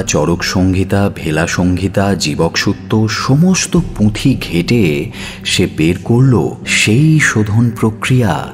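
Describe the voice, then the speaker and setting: A man narrating a scripted audio story in a measured, dramatic style. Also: low-pitched. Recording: music bed under narration.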